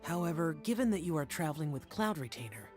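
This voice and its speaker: A man's voice: fairly high pitch, sing-song, fast talker, faint music behind. A man playing a stern, aloof, youthful immortal guardian in a video game, with formal, reserved phrasing. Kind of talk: video game character voice acting.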